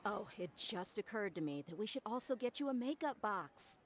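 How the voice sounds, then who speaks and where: A woman's voice: medium pitch, expressive, moderate pace, slight background noise, natural voice. A woman voicing a confident, persuasive young woman in an anime game. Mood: coaxing.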